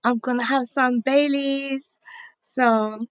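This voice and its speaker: A woman's voice: high-pitched, steady tone, slow, smooth, dry recording. A woman, calling in while losing her voice. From England. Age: 50s. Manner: apologetic, warm thanks. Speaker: caller. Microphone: remote video call.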